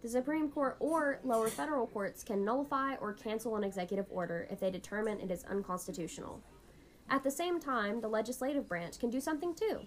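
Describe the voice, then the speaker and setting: A woman's voice: fairly high pitch, a little fast, rough recording. A woman hosting an educational podcast in a scripted, instructive explainer style. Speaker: podcast host.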